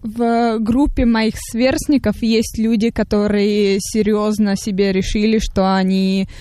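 A woman's voice: mid-range voice, little pitch movement, a little slow, mellow, no room sound. A teenage girl from Russia, answering radio interview questions in a thoughtful, hesitant way with small fillers. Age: teens. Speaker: interviewee, young jury member.

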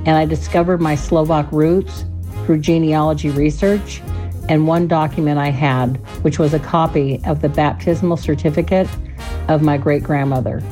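Low, mellow woman telling her story. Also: fairly even intonation, normal speed, faint music behind. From Alaska, United States. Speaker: listener sharing her story. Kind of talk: prepared first-person narration.

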